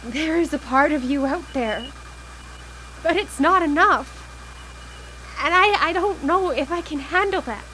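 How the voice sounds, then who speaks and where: High-voiced, desperate grieving widow. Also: female voice, measured pace, long pauses, sharp tone, poor audio. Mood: stunned, desperate. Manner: pleading, urgent. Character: grieving, obsessive widow desperate to reach her lost wife. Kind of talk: live radio drama acting. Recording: live broadcast with background noise.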